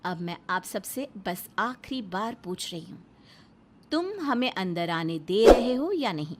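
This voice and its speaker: A woman's voice: medium pitch, animated, a little slow, darkish tone, a little hiss or hum, lightly acted. A woman voicing a defiant, aggrieved wife in a family moral-story cartoon, assertive and dramatic.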